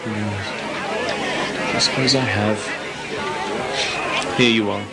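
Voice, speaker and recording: A man's voice: fairly low pitch, steady tone, extremely slow, loud music behind the voice. A man playing a flippant, sceptical human companion with a wry, colloquial way of talking in a sci-fi audio drama. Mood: reluctant. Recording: very noisy outdoor crowd backdrop.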